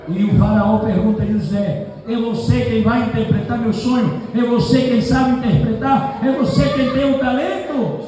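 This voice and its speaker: A man preaching a fervent sermon as pastor, often shouting, whose first language is not Portuguese. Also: very high voice, noisy background. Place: large church hall. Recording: roomy hall from far off, congregation.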